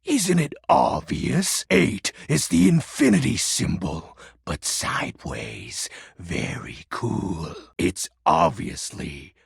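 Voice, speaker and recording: A brooding video game shadow lord with a very husky, gravel-laden voice. His grandiose speech swings dramatically in pitch, full of theatrical menace and melodrama. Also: sing-song, slow pace, no room sound, clean studio sound, full character voice. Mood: smug, teasing. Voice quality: raspy, gravelly. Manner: grandiose, archaic phrasing with sudden casual asides.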